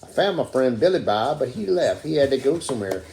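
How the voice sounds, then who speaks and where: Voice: mid-range voice, sing-song, brisk, gravelly, darkish tone, roomy sound. Someone playing an easygoing puppet turtle, light noise. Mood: mild, chatty. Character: friendly, lonely, easygoing talking turtle. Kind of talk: amateur puppet show.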